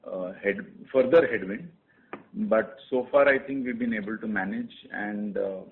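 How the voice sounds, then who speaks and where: A man answering in a measured, cautious way as an executive on an earnings call. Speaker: company executive. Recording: tinny phone line with faint rumble.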